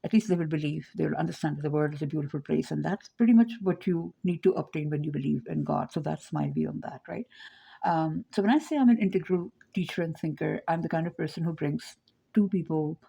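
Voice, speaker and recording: A woman's voice: low-pitched, gravelly, mellow, slightly rough. A woman teaching her framework in an informal lecture, meandering and thinking aloud, often checking in with "right?".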